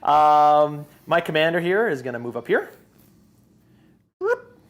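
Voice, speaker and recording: A man at a tabletop wargame, talking through his moves in a casual live chat. His voice rises and falls in an animated way, and he often trips and restarts mid-sentence as he plans. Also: fairly high pitch, a little slow, lo-fi sound. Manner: thinks aloud while moving models, restarts often. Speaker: wargame player.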